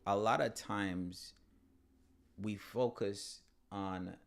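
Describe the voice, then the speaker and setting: A man co-hosting a casual podcast with his wife, laid-back and halting, often repeating words as he starts. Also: on the low side, steady tone, gravelly. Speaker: co-host.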